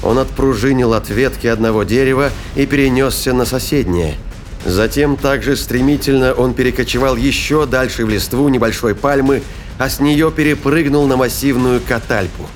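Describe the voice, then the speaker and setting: A man's voice: mid-range voice, normal speed. A man narrating a science fiction audio drama in a scripted, dramatic storytelling style. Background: prominent music bed.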